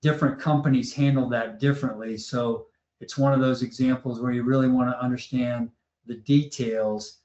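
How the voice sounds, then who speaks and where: A man moderating an online panel discussion. He talks in a relaxed way, thinking aloud with fillers and repeats. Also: mid-range voice, normal speed. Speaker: webinar moderator. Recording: roomy, dull lo-fi audio.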